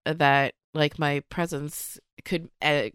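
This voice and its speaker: A woman's voice: sits low, flat delivery, slow, fairly bright tone, clean tone, dry recording, clean studio sound. A woman comedian from Sweden whose first language is Swedish, talking thoughtfully in a casual podcast interview, with fillers and restarts. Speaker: comedian guest.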